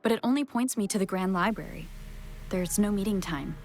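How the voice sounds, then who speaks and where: An actress playing a thoughtful young adventuring heroine in a video game, reasoning carefully in monologue. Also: female voice, mid-range voice, brisk, a little mellow, slight background noise, natural voice. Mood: pensive, focused. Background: music.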